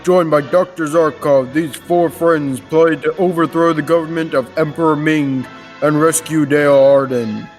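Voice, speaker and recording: A man's voice: fairly high pitch, steady tone, normal speed, faint music behind. A young man narrating an old-time radio adventure serial from a script. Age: teens to young adult.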